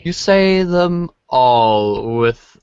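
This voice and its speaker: A man reads a dramatic script aloud, stumbling here and there. His voice is mid-pitched and his pace slow. The podcast recording is dry and somewhat muffled. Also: animated, a little mellow, clean tone, no echo. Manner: halting, stumbles over lines. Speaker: podcast reader.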